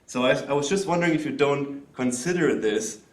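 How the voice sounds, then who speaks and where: A man in the audience asking a long question at an academic talk, speaking loudly with many hesitations. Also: mid-range voice, fairly quick. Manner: loud, hesitant, many fillers. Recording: roomy hall sound.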